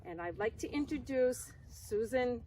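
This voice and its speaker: A woman with a high, lively voice reads a formal welcome. Her pace is unhurried and deliberate. She is recorded a little away from the mic with faint noise around her. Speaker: event introducer for a conservation group. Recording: slightly distant mic, faint background noise.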